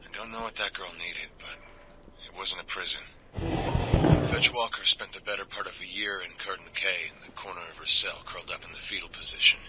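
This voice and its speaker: An actor playing a weary, remorseful middle-aged government agent recording grim, reflective audio logs for a video game. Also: male voice, low-pitched, steady tone, brisk, noisy background, natural voice. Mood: haunted regret. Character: weary, remorseful older male government agent with conduit powers. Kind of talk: confessional audio log narration in a video game.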